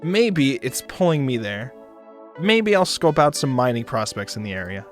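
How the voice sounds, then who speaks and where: A man's voice: mid-range voice, very animated, normal speed, faint music behind, lightly acted. Man playing a wry space-travelling drifter. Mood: wry, laid-back. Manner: casual, dryly wry. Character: wry, broke, laid-back space shuttle passenger. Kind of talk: visual novel character reading.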